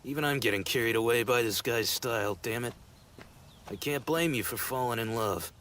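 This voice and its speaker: A man's voice: medium pitch, normal speed, slight background noise, lightly acted. An actor in an anime dub playing a wry young man who grudgingly admires his rival. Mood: begrudging admiration. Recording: loud music behind.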